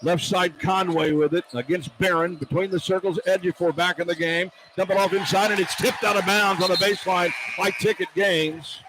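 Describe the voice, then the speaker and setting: Male American play-by-play radio announcer, higher voice, excitable home-team commentary over arena noise. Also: normal speed, hardly any pauses. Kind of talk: live basketball play-by-play commentary. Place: courtside in a basketball arena. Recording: noisy live arena radio broadcast. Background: crowd and arena noise.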